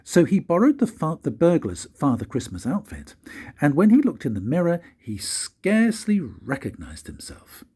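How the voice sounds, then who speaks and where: An older man reads a children's story like a grandfather, in an expressive, deliberate way with dramatic pauses and a husky voice. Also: a little high. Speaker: grandfather reading stories for his grandchildren.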